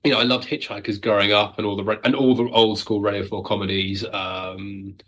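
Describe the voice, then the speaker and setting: A man's voice: fairly low pitch, little pitch movement, brisk, a bit bright. A British man chatting casually as an interview guest, self-deprecating and wry, talking as a writer.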